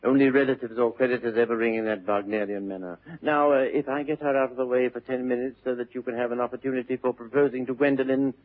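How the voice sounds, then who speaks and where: An actor playing a witty, flippant upper-class English bachelor in a classic stage comedy, airy, glib and theatrical. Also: male voice, medium pitch, fairly even intonation, quick pace, hardly any pauses, natural voice. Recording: muffled vintage narrow-band radio recording.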